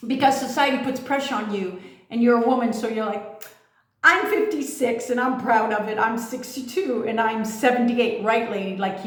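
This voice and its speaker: A woman giving an impassioned, profane monologue as a spiritual coach, firing off rhetorical questions and swearing freely. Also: fairly high pitch. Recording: very echoey room.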